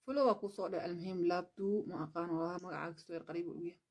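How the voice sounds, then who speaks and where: A woman's voice: lowish voice, steady tone, racing. A woman from Somalia, chatting casually on a livestream, switching languages and thanking viewers for gifts. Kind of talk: casual live-stream chat.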